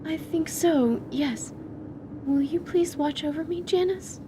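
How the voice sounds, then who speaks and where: A high, gentle voice of a bookish young sorceress, acted by a woman for a fantasy radio play. She chooses her words formally and with care, slightly hesitant. A faint rumble sits behind the recording. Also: slow. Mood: polite, thoughtful. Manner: formal, careful, hesitant phrasing.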